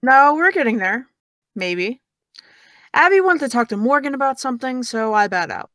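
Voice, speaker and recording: A woman plays a young woman in an audio drama with a fairly high voice, recorded close and dry. She is smug and taunting, needling with sarcastic, teasing remarks. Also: expressive, moderate pace, fairly bright tone, no room sound. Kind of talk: audio drama dialogue of a video call.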